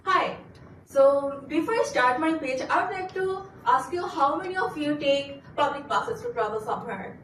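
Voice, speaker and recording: A woman from Bangladesh, a graduate student giving an earnest competition pitch, stumbling and restarting now and then. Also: lively intonation, normal speed, fairly bright tone, roomy sound, recorded from a distance, a little hiss or hum, rough recording. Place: auditorium.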